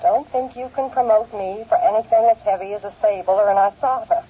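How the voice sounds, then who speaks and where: An actress playing a brusque, skeptical, sarcastic older sister, heard through a telephone filter in a radio drama. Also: female voice, medium pitch, brisk, husky. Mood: scornful, irritated. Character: sharp-tongued, skeptical, irritable older sister. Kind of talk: old-time radio drama acting. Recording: thin, narrow telephone-filtered radio sound.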